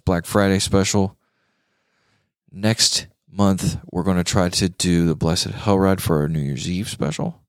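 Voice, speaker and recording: Male talk show host, low-pitched, dry sound, informal and slangy guitar chat with emphasis. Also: a little mellow, dry recording.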